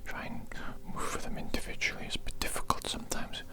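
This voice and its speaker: A man whispering in a breathy, unvoiced voice, playing an eccentric, fussy doctor in an ASMR roleplay, with repetitive phrasing and a close microphone. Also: fairly quick. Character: eccentric, fussy evil doctor pampering a captive patient. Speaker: ASMR performer. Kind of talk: whispered ASMR roleplay of a personal grooming session.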